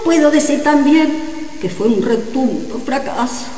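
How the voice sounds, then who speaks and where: A woman's voice: high voice, measured pace, dark tone. A woman playing an evasive, sheepish amateur sorceress in a radio comedy drama. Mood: coy, evasive. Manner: coy, evasive, theatrical. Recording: echoey reverberant sound, faint noise.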